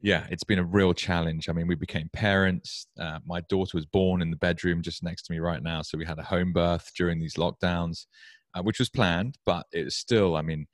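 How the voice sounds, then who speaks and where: A man's voice: low voice, steady tone, moderate pace, no room sound, clean studio sound. A man from the UK, in his thirties, hosting a podcast interview in a casual, rambling way with frequent restarts. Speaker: podcast host.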